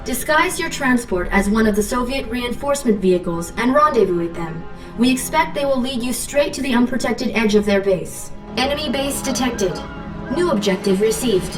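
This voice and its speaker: A woman's voice: fairly high pitch, expressive, normal speed, natural voice. An actress playing a dutiful female imperial intelligence officer, giving formal, businesslike briefings in a war game. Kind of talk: video game mission briefing and announcements. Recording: roomy distant sound under game music. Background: game music.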